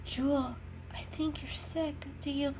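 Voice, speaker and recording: A woman's voice: high voice, fairly even intonation, measured pace, background noise, voice pushed up. A woman voicing a determined, caring female cat in a storybook reading, earnest and coaxing. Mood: gentle coaxing.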